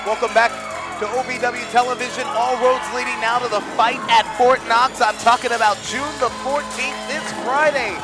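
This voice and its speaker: A man's voice: very high-pitched, steady tone, moderate pace, a crowd in the background. A man hosting a wrestling TV show, upbeat and salesy as he announces an event. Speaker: show host. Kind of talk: promotional announcement. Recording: loud music behind.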